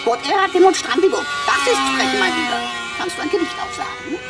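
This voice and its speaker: Cocky, high-pitched little robot. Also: female voice, animated, fairly quick, far from the mic, loud music behind the voice. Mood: taunting. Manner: taunting, show-off, pompously declaiming. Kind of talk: German sci-fi radio drama acting.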